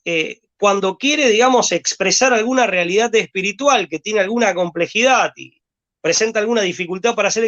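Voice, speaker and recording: A man's voice: high-pitched, fairly bright tone, muffled recording. A man from Rosario with an Argentine accent, speaking as a guest in a rambling, hesitant way, repeating words and leaning on fillers. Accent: Rosario Argentine Spanish. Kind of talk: discursive conversation.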